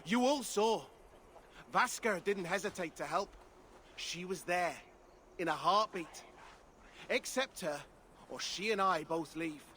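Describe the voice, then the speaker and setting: Bright, high-pitched devoted dwarf. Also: male voice, slow pace, pauses often, a little hiss or hum, exaggerated voice. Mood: defiant. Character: devoted, impassioned male dwarf in love. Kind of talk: dramatic video game cutscene acting.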